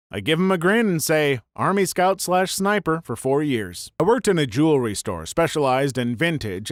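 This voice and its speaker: A man reading a string of online stories aloud as a scripted narration, brisk and even with dry asides. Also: mid-range voice, dry recording.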